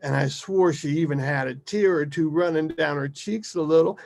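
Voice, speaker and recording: A man voicing a rough, animated father who reminisces fondly in an emphatic, colloquial way, within a dramatic story. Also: a little high, moderate pace, pitched above the performer's own voice. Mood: amused, animated. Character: rough, foul-mouthed, animated father reminiscing fondly about his daughter.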